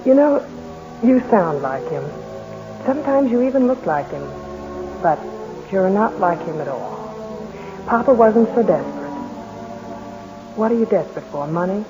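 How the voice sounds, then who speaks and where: A woman's voice: medium pitch, lively intonation, moderate pace, long pauses, dull audio, music in the background, close to an everyday voice. An actress playing a wry young woman newspaper editor who teases and probes, in 1940s radio drama style. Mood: teasing. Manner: wry, probing, lightly mocking.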